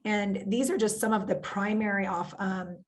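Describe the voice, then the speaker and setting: Woman, losing her voice, flat intonation, financial planner, video call, measured with fillers. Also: mid-range voice. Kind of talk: webinar presentation.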